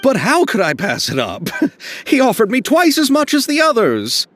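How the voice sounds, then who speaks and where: A man's voice: very high-pitched, wildly swooping pitch, normal speed, fairly bright tone, faint music behind, heavily acted. A man playing an earnest, flustered young film director in a video game, polite and a little hesitant. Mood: defensive. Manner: polite, hesitant, nervously apologetic.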